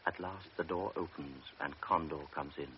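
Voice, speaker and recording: Very deep male narrator, measured, hissy dull old recording. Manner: measured, steady. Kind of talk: radio drama narration.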